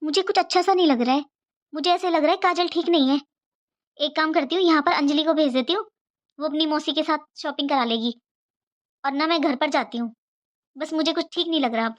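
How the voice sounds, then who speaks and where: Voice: high-pitched, fairly quick, natural voice. A performer voicing an anxious, morally stern middle-aged mother in a cartoon moral story, emotional and reproachful. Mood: worried. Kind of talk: animated moral story dialogue.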